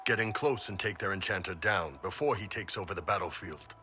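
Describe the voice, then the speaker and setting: An actor playing a gruff, easygoing horned giant mercenary captain in a fantasy video game, blunt and folksy, with a deep, husky voice. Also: male voice, brisk, bright, forceful tone, slight background noise. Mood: commanding.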